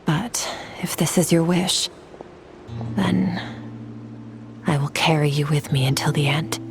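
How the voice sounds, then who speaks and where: A cold, proud fantasy-game warrior with a low voice. She is restrained and blunt, carrying old guilt, with music behind her. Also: fairly even intonation, slow. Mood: subdued, sorrowful. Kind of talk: scripted video game voice acting.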